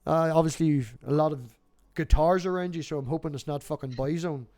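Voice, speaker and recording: A man's voice: on the high side, brisk, a little mellow. A middle-aged man chatting casually on a livestream, talkative and sweary, telling anecdotes. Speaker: livestream host.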